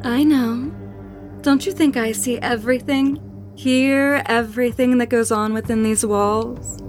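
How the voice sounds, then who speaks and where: A woman's voice: fairly high pitch, steady tone, measured pace, close to an everyday voice. An actress playing a commanding, taunting spirit woman who haunts a manor in a horror audio drama, with an insinuating manner. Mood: ominous, knowing. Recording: voice over prominent background music.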